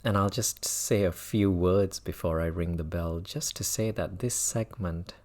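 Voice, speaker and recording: A man with a low-pitched voice leads a guided mindfulness meditation. He speaks very slowly, leaving long pauses between measured phrases. He often repeats words as he gives his instructions. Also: a little mellow. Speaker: meditation teacher.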